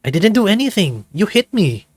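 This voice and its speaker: A man's voice: high voice, sing-song, moderate pace, slightly rough, no echo, voice pushed up, full character voice. A man voicing a young male panther student, defensive, matter-of-fact and chiding, in visual novel dialogue. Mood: irritated, chiding. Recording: background music under the voice.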